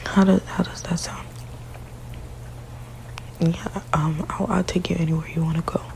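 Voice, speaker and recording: A woman whispering softly and haltingly in an ASMR partner roleplay, often restarting her sentences. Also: low-pitched, vocal fry, a little hiss or hum, low-quality recording.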